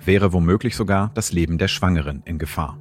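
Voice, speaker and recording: A man's voice: sits low, fairly quick. A man reading scripted documentary narration as the narrator of a programme.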